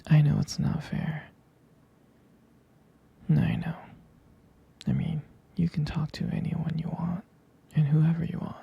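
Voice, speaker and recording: A man playing a jealous, devoted boyfriend in an ASMR roleplay, speaking intimately and haltingly in a breathy, husky whisper. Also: a little high, big pitch swings, dull audio, exaggerated voice. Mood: uneasy, jealous. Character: jealous, possessive, devoted boyfriend soothing his sweetheart.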